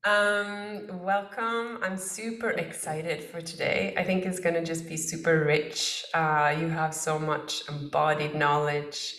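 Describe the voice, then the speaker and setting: A woman with a fairly low voice hosts a casual interview. She speaks informally and with enthusiasm. The sound is roomy, with noticeable echo around her words. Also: a bit bright. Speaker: host of an online interview series. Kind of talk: casual, conversational interview introduction. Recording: roomy, echoing voice.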